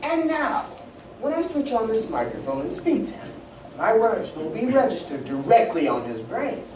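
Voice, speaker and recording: An excitable, learned inventor from a 1940s radio serial, acted by a woman, explains grandly and deliberately. The pitch is fairly high and very animated. It is heard from off in an echoey room with some noise. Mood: earnest, explaining. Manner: theatrical, deliberate, professorial. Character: excitable older scientist doctor, proud and learned inventor. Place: community playhouse stage reading. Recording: distant mic, echoey room, background noise.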